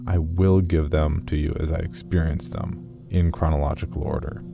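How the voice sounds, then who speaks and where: A man playing an earnest, guarded amateur caver, narrating his journal in a measured, matter-of-fact way for an audio horror drama. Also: rumbling low, steady tone, vocal fry, over music. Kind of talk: first-person scripted horror narration.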